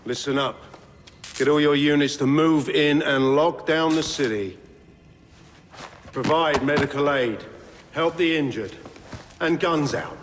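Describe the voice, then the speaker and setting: An actor playing a smug, menacing military commander in a video game, taunting and commanding. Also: male voice, a little high, a little slow, pauses often, background noise, natural voice. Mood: barking orders. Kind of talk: video game cutscene acting.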